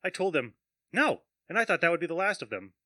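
A man's voice: fairly high pitch, sing-song, fast, no room sound, clean studio sound. A man acting a jittery, skeptical man who is spooked and agitated, in an amateur audio drama. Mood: nervous. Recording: loud music over the voice.

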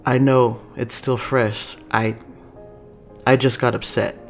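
A man voicing a stern, protective swordswoman and mentor who speaks with forceful care in a story. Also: mid-range voice, little pitch movement, slow, faint music behind. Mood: apologetic.

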